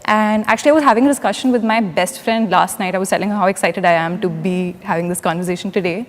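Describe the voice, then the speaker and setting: A woman's voice: medium pitch, fast, a little hiss or hum. A woman in her twenties making an earnest argument in a panel debate. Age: 20s. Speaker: debate participant. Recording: reverberant studio hall.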